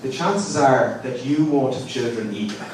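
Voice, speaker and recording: A young man from Ireland with an Irish accent, telling deadpan one-liners in a live stand-up set, pausing before each punchline. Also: mid-range voice. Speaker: stand-up comedian. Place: live comedy gig with an audience laughing. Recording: distant, roomy live recording with audience noise.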